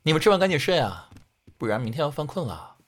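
A young man playing a sweet, naive idol group member who speaks in a gentle, earnest way. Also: a little high, very animated, fast talker. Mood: eager, polite.

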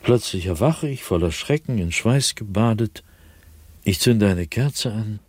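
A man playing an anguished, fearful, solitary diarist in a radio drama, speaking in a husky, brooding voice with sudden swells and hushed drops. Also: lowish voice, lively intonation, a little slow, no echo. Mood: terrified. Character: anguished, fearful, solitary male diarist haunted by nightly dread. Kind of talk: German radio drama monologue, diary read dramatically.

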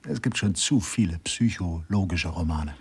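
An actor playing a drily pompous, bookish assistant detective in a German radio crime comedy, musing in know-it-all asides. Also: male voice, low-pitched, normal speed, exaggerated voice. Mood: pompous, dry. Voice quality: husky. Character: bookish, opinionated male police sidekick, drily pompous.

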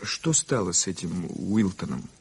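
An actor playing an earnest, naive and politely formal American visitor in a radio play, speaking with emphasis. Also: male voice, sits low, animated, measured pace, gravelly, husky, full character voice. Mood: earnest, shocked. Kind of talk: radio play acting, 1980s Soviet radio.

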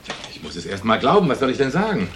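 An actor playing a weary, gruff veteran male detective in a German radio play, laconic and matter-of-fact. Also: medium pitch, huge pitch swings, fast talker, fairly bright tone, a little hiss or hum. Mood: resigned, dry.

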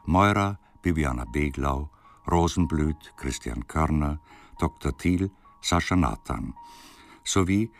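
A man reading the credits of a radio play as a scripted announcement. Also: very deep voice.

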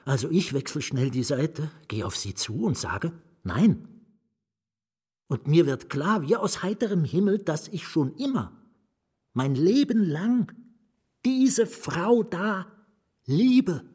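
Husky haunted writer, confiding intimately. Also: male voice, on the high side, expressive, slow pace, a little mellow, heavily acted. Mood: intense, enraptured. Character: haunted nameless male writer confiding his lost love. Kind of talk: German radio drama monologue.

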